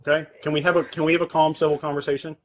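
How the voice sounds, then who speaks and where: Man, police officer, firm, methodical, slightly high voice, body camera mic. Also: level tone, racing, a little hiss or hum. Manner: firm, methodical, keeps adding "okay?". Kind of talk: explaining an arrest to a resident. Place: at a house at night. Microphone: body-worn camera.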